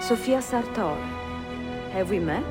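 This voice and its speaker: A woman's voice: mid-range voice, animated, measured pace, loud music behind the voice, natural voice. A woman playing a curious, polite young Italian bookseller in a video game cutscene, with an Italian accent. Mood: welcoming, polite.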